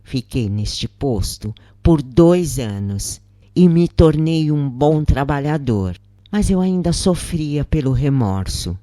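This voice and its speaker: A narrator reading a scripted first-person account in measured phrases with pauses. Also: medium pitch, expressive, dark tone, no echo.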